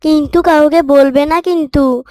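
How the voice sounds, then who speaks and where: A woman's voice: high-pitched, steady tone, unhurried, a little mellow, no echo. A woman voicing a hesitant, easily tempted young boy in a children's moral cartoon, tentative and curious.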